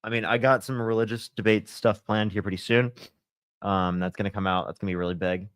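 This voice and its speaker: A man's voice: fairly low pitch, fairly even intonation, a little fast, clean tone, no room sound. A young man hosting a casual livestream, answering chat in a laid-back way full of fillers, a little congested with a sore throat. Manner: laid-back, filler-heavy, lots of "like" and "you know".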